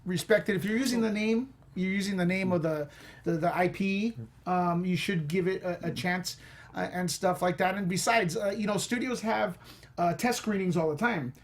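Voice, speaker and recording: A man with a clearly high-pitched voice talks as a panelist on a movie show. His delivery is informal, conversational opinion-sharing. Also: moderate pace. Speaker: panelist on a film discussion show. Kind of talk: casual opinion chat.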